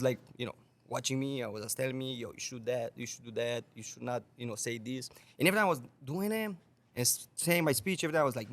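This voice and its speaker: A young man from Romania, a guest bartender, telling stories on a podcast in casual, swearing, laughing speech. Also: medium pitch. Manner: animated, swears a lot, laughs often.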